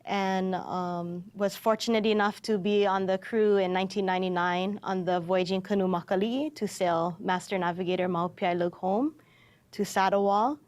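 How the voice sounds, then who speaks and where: A woman's voice: mid-range voice, steady tone, normal speed, a little mellow, no room sound. A middle-aged woman from Hawaii, an educator, telling her story as a studio interview guest. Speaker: guest, educator and canoe captain. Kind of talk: recounting personal story in interview.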